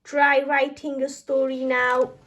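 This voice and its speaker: A woman voicing a warm, encouraging mother pig in a children's storybook, patient and supportive. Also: sits high, little pitch movement, slow pace.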